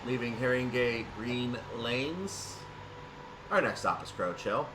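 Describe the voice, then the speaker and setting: A man's voice: medium pitch, expressive, takes it slowly. A man giving play-by-play commentary on a train simulator game, narrating his own actions in announcer-like phrases. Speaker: video game streamer. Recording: distant mic, loud vehicle-like background noise.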